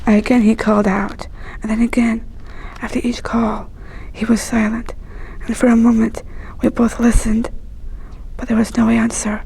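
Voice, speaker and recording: A woman reading a children's story aloud in a hushed, breathy, whispery storytelling voice. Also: fairly high pitch, little pitch movement, moderate pace, a little hiss or hum, poor audio.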